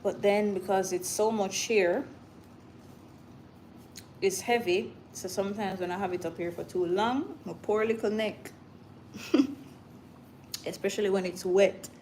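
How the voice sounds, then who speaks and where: A woman with a Jamaican accent talks casually to viewers as a livestream host. Her voice sits at a middle pitch. She slips informally into Patois, and there is faint noise behind her. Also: a little slow, pauses often, a little mellow. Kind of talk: casual live chat with viewers. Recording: clear background noise.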